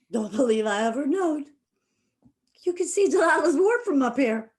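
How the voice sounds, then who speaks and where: Stage drama: actress as wistful Southern hill-country sister, high-pitched, very expressive, folksy and emotional. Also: female voice, slow pace. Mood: wistful, nervous. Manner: folksy drawl. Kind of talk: stage play acting.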